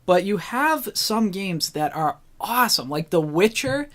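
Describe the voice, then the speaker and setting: Co-host for a film and games chat show: mid-pitched, informal, opinionated. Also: big pitch swings, measured pace, a bit bright. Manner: informal, opinionated, mildly swearing. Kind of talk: casual opinionated discussion of films and games.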